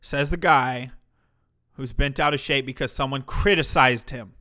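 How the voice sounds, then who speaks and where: A man's voice: medium pitch, unhurried, sharp tone. A man hosting a livestream, reacting to a clip with mocking, profane commentary. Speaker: commentary streamer host.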